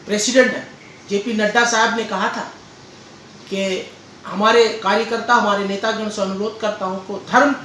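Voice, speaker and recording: Echoey, deliberate male political speaker. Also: sits high, steady tone, bright, forceful tone. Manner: deliberate, oratorical, repeating phrases. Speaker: political leader being interviewed. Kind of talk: political interview answer. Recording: echoey room with faint background noise.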